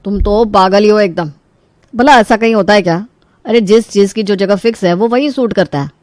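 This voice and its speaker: A woman playing an easygoing young husband in an animated story, with a casual, coaxing and teasing manner. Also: mid-range voice, brisk, no room sound, close to an everyday voice. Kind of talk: Hindi animated story voice acting.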